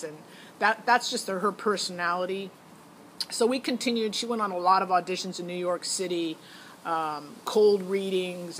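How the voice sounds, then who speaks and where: A woman's voice: lowish voice, a bit bright. Chatty middle-aged woman, noisy recording. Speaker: parent vlogger. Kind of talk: casual informal personal account to camera.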